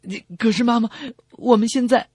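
A man's voice: very high-pitched, fairly even intonation, moderate pace, darkish tone, slightly rough, no echo, pitched above the performer's own voice. A man voicing a hesitant newlywed daughter confessing to her mother in a comedy radio sketch.

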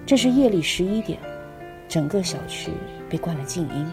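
Expressive woman reading a story over music. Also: on the low side, moderate pace. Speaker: podcast host. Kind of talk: literary narration, audio book reading.

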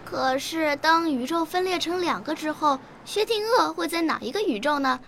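A woman's voice: high voice, expressive, normal speed, a bit bright, a little hiss or hum. An actress playing a young, curious, bookish schoolgirl in a Chinese radio drama. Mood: curious, earnest.

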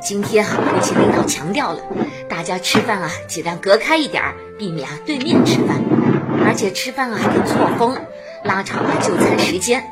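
A warm middle-aged canteen worker and mother, played by an actress, speaks in a radio drama with music underneath. She is chatty and dutiful, and her pitch moves in lively arcs. Her manner is earnest and motherly. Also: moderate pace, close to an everyday voice. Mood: friendly, busy. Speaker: narrator and lead character. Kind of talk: radio drama acting with first-person narration.

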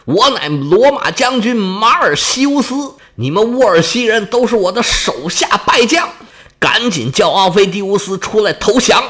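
A man's voice: high voice, animated, moderate pace, fairly bright tone. A male storyteller voicing a boastful, hot-tempered Roman general bellowing war cries and threats. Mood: boastful, threatening.

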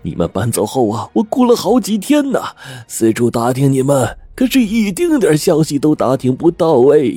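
A man voicing an emotional, wealthy old man who talks in a high, gushing, overly warm way. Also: animated, normal speed, no room sound, soft background music. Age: older.

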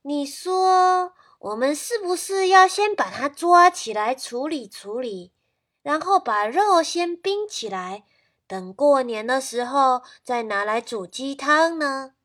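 A fretful old grandmother , played by a woman, high and loud, coaxing her husband with a question. It has the lilt of a children's storybook. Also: lively intonation, slow pace, exaggerated voice.

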